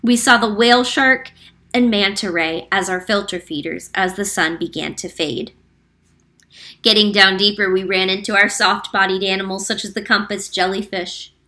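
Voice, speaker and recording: A woman reading a picture book aloud to children as a teacher, clear and explanatory, slowing down on key facts. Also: mid-range voice, steady tone, sharp tone. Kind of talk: read-aloud storytime narration.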